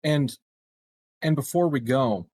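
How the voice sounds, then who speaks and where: A man's voice: medium pitch, slow pace, a little mellow. A man playing a tabletop roleplaying game, casually and tentatively feeling his way through questions and actions. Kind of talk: casual improvised game discussion.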